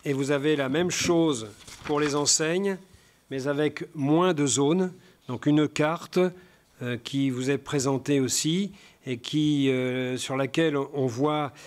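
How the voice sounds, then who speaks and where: A man speaking as a local councillor, giving a formal, partly improvised presentation of a report in a halting way, often searching for words. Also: medium pitch. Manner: halting, hesitant delivery with frequent searching for words.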